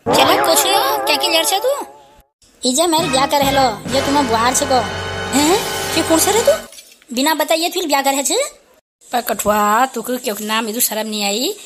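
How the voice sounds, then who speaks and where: High-pitched Kumaoni village mother played by a woman. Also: normal speed, loud music behind the voice, close to an everyday voice. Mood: indignant. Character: scolding, exasperated village mother of a grown son. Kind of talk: amateur cartoon comedy dialogue.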